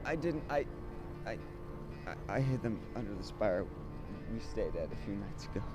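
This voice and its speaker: An actor playing a frightened male thief, pleading haltingly under interrogation in a dramatic film. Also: on the low side, lively intonation, over music, close to an everyday voice. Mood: scared, defeated. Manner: halting, pleading denials and confession.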